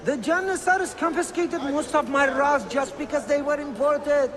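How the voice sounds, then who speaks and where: A man's voice: extremely high pitch, normal speed, a bit bright. A man playing a wheedling, theatrical bazaar merchant in a video game, haggling with salesman's effusiveness. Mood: aggrieved, haggling. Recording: reverberant, with clear street noise.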